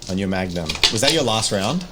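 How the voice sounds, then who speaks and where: A man running a tabletop horror game, narrating casually in graphic, halting descriptions and explaining rules. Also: lowish voice, lively intonation, heavy background noise. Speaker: game master.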